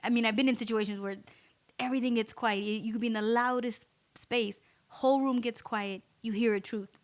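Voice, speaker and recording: A woman co-hosting a podcast in a recording studio, talking loudly and emphatically in casual chat and reading out listener comments. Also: fairly high pitch, steady tone, fairly quick. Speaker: podcast co-host.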